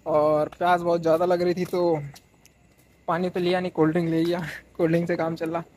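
A man's voice: fairly high pitch, monotone, quick pace. A young man filming a casual vlog on a phone held at arm's length, chatting and teasing his friend in slangy banter as he walks through city streets and markets. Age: young adult. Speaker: vlogger. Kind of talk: casual handheld travel vlog. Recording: phone recording with loud crowd. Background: crowd noise.

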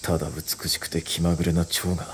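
An actor playing a proud, obsessive samurai traitor in a theatrical, brooding way for a video game. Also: male voice, deep voice, flat delivery, fast talker, a little hiss or hum, close to an everyday voice. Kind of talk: dramatic Japanese video game acting. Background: loud music.

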